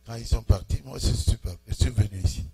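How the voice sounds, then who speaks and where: A man pastor greeting a church congregation in French, speaking in short phrases and pausing for his interpreter. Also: mid-range voice, vocal fry, soft background music. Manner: slow, pausing phrase by phrase for the interpreter, chuckling warmly. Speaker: preaching pastor. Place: church hall.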